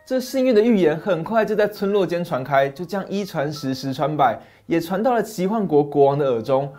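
A man's voice: fairly high pitch, animated, fairly quick, mellow, soft background music. Dramatic middle-aged male storyteller. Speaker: storyteller host. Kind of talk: scripted fairy-tale narration. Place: small dim study set.

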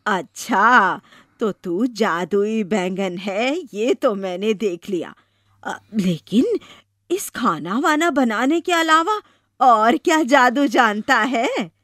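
A woman voicing a wistful, curious elderly village mother-in-law in an animated story, in a musing manner. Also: a little high, slow, no room sound, clean studio sound. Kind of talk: animated moral story voice acting.

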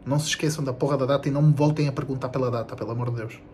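A young adult man with a European Portuguese accent speaks casually to camera as a video creator. His delivery is animated, blunt and slangy, with swearing and emphatic repetition. He records himself at arm's length in a small room at home. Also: medium pitch, very fast, slight background noise. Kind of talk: casual vlog announcement to camera.

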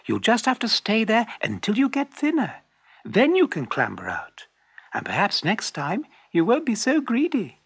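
A smug little storybook weasel, played by a narrator, with a high, slightly rough voice. It gives a mocking, matter-of-fact scolding with no sympathy at all. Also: huge pitch swings, moderate pace, a bit bright, no echo, exaggerated voice. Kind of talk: children's storybook character voice.

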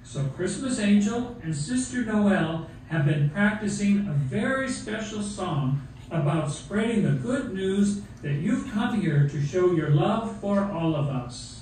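A man's voice: sits high, lively intonation, slow, darkish tone. A man voicing a jolly old Santa puppet, announcing jovially in a children's Christmas show. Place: theatre, behind puppet stage curtain. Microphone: far camera. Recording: very echoey hall from far off, faint noise.

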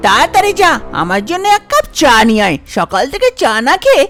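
A woman's voice: high-pitched, huge pitch swings, fast, fairly bright tone, faint music behind, pitched above the performer's own voice, exaggerated voice. A woman voicing a harsh, nagging, impatient village stepmother in a cartoon folk tale. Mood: scolding. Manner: nagging, bossy, dismissive. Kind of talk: cartoon story voice acting.

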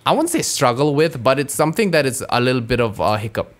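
A man's voice: mid-range voice, big pitch swings, fast talker. Man who stammers, chatting on a podcast. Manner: stammers, restarts often, searches for words. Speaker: podcast co-host. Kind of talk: casual chat.

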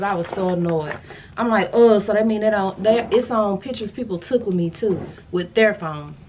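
An American woman in her thirties chatting casually to camera in a sassy, slangy, animated way, as a vlogger showing a haul. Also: a little high, a little hiss or hum. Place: furnished room at home, indoors.

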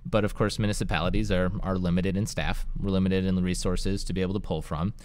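A man who directs city planning, explaining policy in a measured, thoughtful way with frequent fillers, co-hosting a municipal discussion show. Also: low-pitched, little pitch movement, darkish tone, clean tone.

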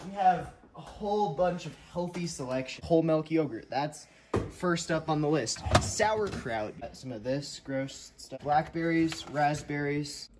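A teenage boy hams up a fake cooking show, praising disgusting food with sarcastic glee. He is heard through a camera across the counter in a roomy home kitchen. Pots and utensils clatter loudly behind him. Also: fairly high pitch, background noise. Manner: sarcastic, jokey, playfully enthusiastic about gross food. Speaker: co-host cooking.

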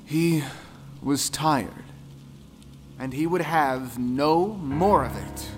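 A man narrating a scripted folktale in a solemn, measured, dramatic way. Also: fairly high pitch. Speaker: storyteller. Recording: music under the voice. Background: music.

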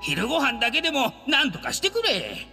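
A man's voice: very high voice, huge pitch swings, moderate pace, gravelly, bright, forceful tone, long reverb tail, soft background music, exaggerated voice. A man playing a shameless, pleading old man with wheedling, elderly phrasing in an anime game.

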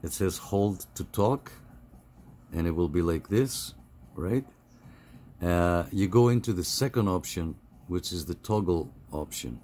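A middle-aged man giving a casual, halting, step-by-step phone app tutorial over some background noise. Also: low voice, lively intonation, warm tone. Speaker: tutorial host.

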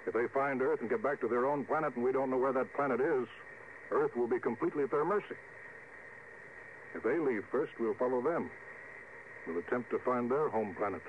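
An actor playing a commanding starship captain, deliberate and stern, in 1950s radio drama style. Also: male voice, mid-range voice, natural voice. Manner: authoritative, deliberate. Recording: phone-like vintage radio recording, faint hiss.

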